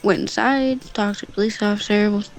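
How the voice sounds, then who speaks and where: A woman tells a story in a casual, improvised way at a medium pitch. She rambles and slips in offhand asides as she goes, with some music playing faintly behind her. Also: fairly even intonation, fairly quick. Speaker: storyteller on a gaming channel.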